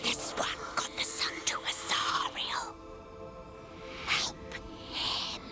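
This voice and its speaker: A woman voicing the reluctant, secretive spirit of a murdered corpse in a video game, speaking in halting whispers. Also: close to an everyday voice. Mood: pained, pleading. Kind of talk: dramatic game acting. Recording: game music behind.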